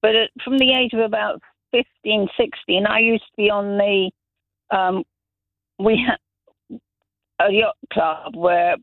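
A man's voice: high-pitched, fairly even intonation, takes it slowly, pauses often, no room sound. A middle-aged man from Zambia, calling into a radio show and telling long stories with many restarts. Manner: rambling anecdotes, many restarts. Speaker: radio caller. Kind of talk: casual phone-in chat. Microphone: telephone. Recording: tinny dry phone line.

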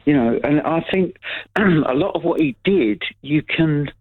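A man calling in to a radio show, speaking thoughtfully and haltingly. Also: fairly high pitch, expressive. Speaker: caller. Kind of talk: casual phone-in chat. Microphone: telephone. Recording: tinny phone line.

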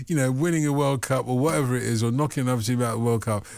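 A man hosting a late-night radio phone-in, playful and animated, in a studio. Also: mid-range voice, racing, vocal fry, no echo. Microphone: studio microphone.

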